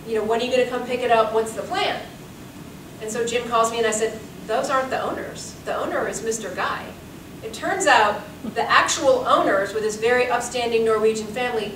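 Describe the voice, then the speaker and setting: A woman in her forties, a curator giving a talk, telling anecdotes in a chatty way and laughing often. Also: on the high side. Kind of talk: lecture with anecdotes. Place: seminar room with audience. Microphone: room mic from far off. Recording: echoey room, distant mic, background hiss.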